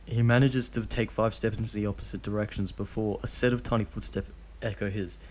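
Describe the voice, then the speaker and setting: A man's voice: on the low side, fairly even intonation, a little fast. A young man casually reading a fanfic aloud, tripping over the odd name. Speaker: fanfic reader.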